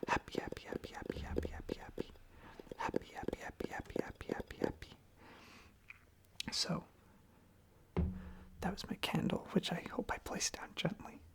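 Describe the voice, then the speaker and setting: Someone rambling softly in a breathy, husky ASMR whisper close to the microphone, casually repeating little phrases. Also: mid-range voice, huge pitch swings, moderate pace, long pauses. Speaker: ASMR video creator.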